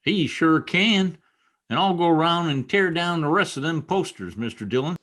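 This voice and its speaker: An actor playing a loyal, fretful Old West deputy in a radio western, with a folksy rural drawl and deferential manner. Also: male voice, a little high, animated, moderate pace, a bit bright. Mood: indignant.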